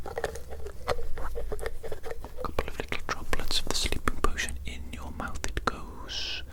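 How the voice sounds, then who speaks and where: A woman playing a shady, evil mad doctor in a whispered ASMR roleplay, formal and deliberate, politely sinister and repeating phrases. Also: lightly acted. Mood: coaxing, quietly menacing.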